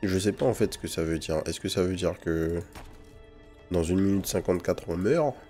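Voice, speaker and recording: Deep-voiced man doing casual game commentary. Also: normal speed, dark tone. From French Guiana. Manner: informal slang sprinkled with English gaming terms. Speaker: gaming channel host. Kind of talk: casual gameplay commentary with first impressions. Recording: music behind the voice. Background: game music.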